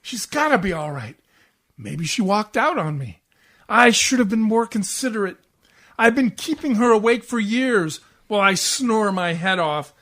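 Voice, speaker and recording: A man's voice: high voice, expressive, moderate pace, fairly bright tone. A man playing a grouchy, self-pitying, bourbon-loving husband in a 1940s-style radio comedy, whiny and melodramatic. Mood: remorseful, self-pitying. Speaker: community theatre actor.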